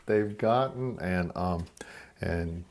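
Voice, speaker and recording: A man's voice: sits low, takes it slowly, a little mellow. A man narrating a homemade hobby video in a plain, folksy way. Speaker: hobby quail keeper presenting a video.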